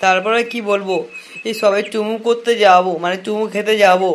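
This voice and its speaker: A man's voice: high voice, steady tone, slight background noise, close to an everyday voice. A man voicing a wronged, lovelorn young man in a comic cartoon, whining and sarcastic with foul-mouthed slang. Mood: rueful, complaining. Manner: whining, sarcastic, drawn-out lamenting. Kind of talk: comic cartoon dialogue in colloquial Bengali slang.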